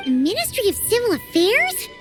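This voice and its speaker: An actress voicing a tiny excitable female fairy companion in a game, given to squeaky, jumpy exclamations. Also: normal speed, soft background music, exaggerated voice. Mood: startled. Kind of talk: game voice acting.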